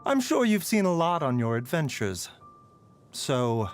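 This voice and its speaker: A scholarly physician in a video game, courteous and gently sly. His voice sits a little high and moves with lively intonation. The phrasing is polite, measured and formal, over soft background music. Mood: quietly sly. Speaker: game character voice actor.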